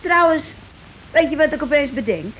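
An actress playing an anxious, compliant working-class wife in a radio play, speaking in a hesitant, naive manner. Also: female voice, high-pitched, expressive, normal speed. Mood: musing. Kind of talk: Dutch radio play acting. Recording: dull, noisy old radio recording.

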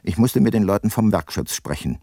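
An actor playing a brooding, probing private detective, narrating his case in a measured way in a radio crime drama. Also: on the low side, lively intonation, dark tone, no room sound, clean studio sound. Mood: brooding, reflective.

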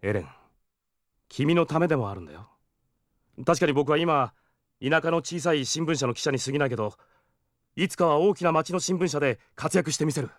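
A man's voice: a little high, brisk, pauses often, no room sound, studio quality, lightly acted. A man playing an ambitious, jealous, hot-headed young newspaper reporter in an anime audio drama. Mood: eager, ambitious. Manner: brash, excitable.

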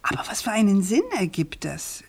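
An actress playing a persistent, probing investigative journalist in a naturalistic radio crime drama, questioning insistently and deliberately. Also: female voice, medium pitch, expressive, gravelly, husky. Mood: probing, skeptical.

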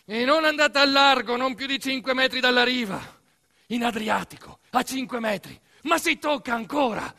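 A man's voice: very high voice, normal speed, gravelly, pushed and bright, pitched above the performer's own voice, exaggerated voice. A man playing a fussy, bossy mother who reels off warnings in a theatrical radio monologue. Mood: alarmed, scolding. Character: fussy, nagging mother barking beach prohibitions.

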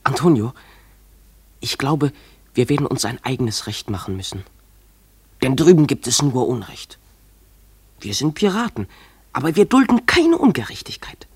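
An actor playing a dreamy, defiant runaway schoolboy in a German radio play, speaking in an imploring, declamatory way. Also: male voice, medium pitch, sing-song, normal speed, pauses often, breathy edge, no echo.

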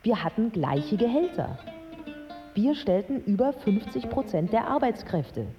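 An actress playing a candid, resolute young Iraqi woman, narrating a radio drama in a measured, articulate, earnest way. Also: on the high side, sing-song, highs cut off, faint music behind. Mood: proud.

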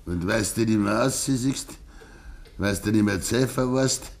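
An actor playing a stubborn, grieving old farmer with a Bavarian accent, deliberate and controlled, in a 1950s dialect radio drama. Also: male voice, on the low side, creaky. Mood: grave, accusing. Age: older.

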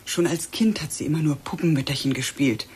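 A woman's voice: deep voice, brisk, darkish tone, slightly rough. A woman playing an anxious, considerate hostess with a conciliatory manner in a radio drama. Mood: concerned.

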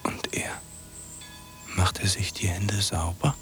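A man's voice: sits low, level tone, takes it slowly, a bit bright, in a whisper, faint music behind. A man playing a brooding, accusing mourner at a graveside in a German radio play, with an urgent, reproachful manner. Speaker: actor in a radio drama.

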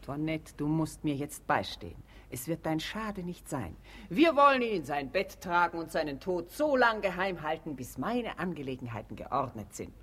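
Casting: scornful scheming madame, radio farce, lowish voice with very animated intonation. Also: female voice, moderate pace, hardly any pauses, breathy edge, heavily acted. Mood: calculating. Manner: haughty, melodramatic. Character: cold, greedy, false wife of a rich man. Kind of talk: German radio play acting of classic comedy.

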